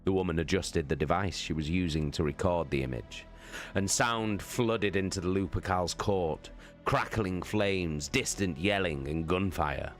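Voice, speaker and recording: A man giving a dramatic, theatrical audiobook narration in a measured way. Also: low-pitched, soft background music. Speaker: narrator, live reader.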